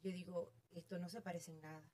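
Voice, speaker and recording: A middle-aged woman with a Venezuelan accent, a real estate agent guest telling her story in an animated, self-amused way. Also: lowish voice, quick pace. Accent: Venezuelan Spanish. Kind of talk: casual interview chat.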